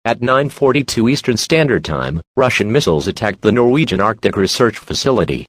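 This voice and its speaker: A man's voice: lowish voice, sing-song, a little fast, breathy edge, no room sound. A man playing an official emergency alert announcer reading grave scripted instructions.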